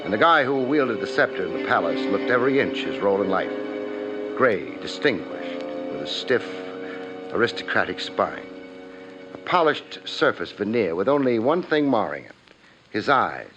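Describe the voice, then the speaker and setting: An actor playing a tough, wry private detective in a 1950s radio drama, narrating and questioning in a clipped, insinuating way. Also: male voice, on the low side, big pitch swings, normal speed, over loud music. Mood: wry narration. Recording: muffled old radio recording.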